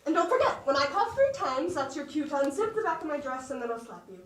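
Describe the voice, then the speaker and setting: A woman's voice: sits high, big pitch swings, quick pace. A young woman in a stage play acting a theatrical actress with a dramatic, exaggerated delivery. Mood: gushing, dramatic. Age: teens. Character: theatrical, gushing actress backstage before a show. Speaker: student actor. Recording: distant echoey stage recording with hiss.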